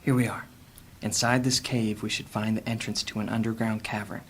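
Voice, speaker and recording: A man's voice: on the low side, level tone, brisk, a little hiss or hum, natural voice. Male actor as protective ranger on the run, curt and commanding, slight ambience. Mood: urgent. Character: terse male ranger guiding a princess to safety.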